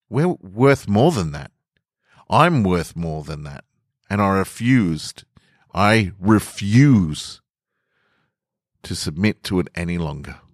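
A man's voice: low-pitched, dry recording, studio quality, exaggerated voice. A man reading a story monologue as an aggrieved, uncomfortable office employee making an earnest, defiant stand. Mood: defiant, bitter. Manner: deliberate, emphatic, earnest.

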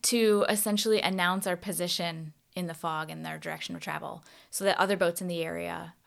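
A woman's voice: on the low side, fairly even intonation, fairly quick, creaky. A woman hosting a casual interview, talking informally in meandering, wordy sentences. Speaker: podcast host.

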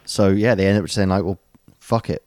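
A man's voice: low voice, steady tone, moderate pace, a little mellow, no room sound. A man co-hosting a podcast, chatting casually and rambling through a story with plenty of ums and jokey asides. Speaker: podcast co-host. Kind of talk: casual chat.

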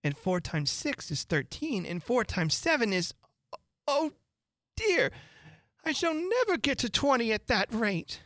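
A woman's voice: a little high, very animated, a little slow, no echo, clean studio sound, voice pushed up. A woman voicing a young girl who is curious, fretful and prim, talking to herself in wonder. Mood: puzzled.

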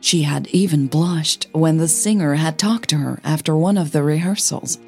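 A woman narrating scripted audio fiction as a podcast storyteller, with measured, deliberate phrasing and short pauses. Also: low voice, slightly rough, faint music behind.

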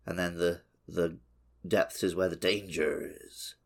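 A man's voice: deep voice, steady tone, unhurried. A man co-hosting a podcast, chatting casually about video games. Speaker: podcast co-host. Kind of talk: casual two-man video game discussion.

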